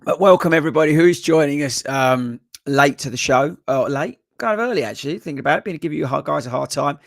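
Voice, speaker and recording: A man, a running coach, hosts a live-stream Q&A in a hoarse voice that is only just coming back, chatting loosely with many fillers. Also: fairly high pitch, animated, fast, dry recording, clean studio sound. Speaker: running coach and podcast host. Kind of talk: casual live-stream chat. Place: home desk.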